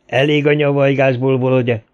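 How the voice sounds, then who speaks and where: A man voicing a gruff, impatient, menacing gunman in an audiobook, growling scornful warnings. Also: mid-range voice, fairly even intonation, darkish tone, dull audio, lightly acted. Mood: irritated.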